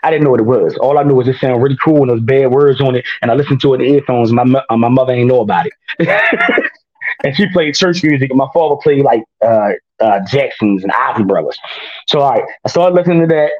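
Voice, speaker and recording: A man's voice: medium pitch, fast, dull audio. A man, a rapper telling his story in a casual interview and often laughing at himself. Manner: laughs often, self-mocking, lively. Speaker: guest rapper.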